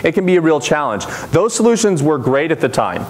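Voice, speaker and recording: A man with a loud, carrying voice gives a conference presentation, quizzing the audience and explaining terms. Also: a little high, fairly quick, a little hiss or hum. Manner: energetic, engages the audience with questions. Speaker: conference speaker. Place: conference room.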